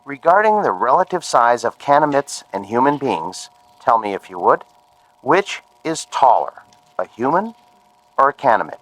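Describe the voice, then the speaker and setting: Lively-pitched, precise scientist. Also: male voice, normal speed, poor audio. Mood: probing, courteous. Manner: formal, precise, instructing. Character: polite, methodical male scientist examiner. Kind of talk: radio drama acting.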